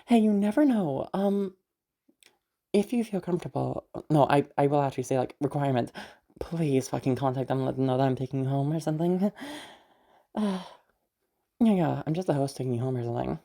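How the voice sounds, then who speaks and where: A woman playing a protective, easygoing big sister in an intimate audio roleplay, speaking in a halting, reassuring way with sighs and casual swearing. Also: a bass voice, creaky, mellow, full character voice. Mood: awkward, concerned. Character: protective, mysterious, easygoing big sister escorting a new acquaintance.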